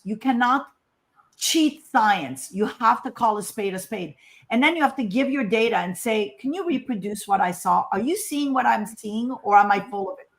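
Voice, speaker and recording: Woman, from Morocco, emphatic conversational scientist, informal conference talk, rhetorical questions, medium pitch.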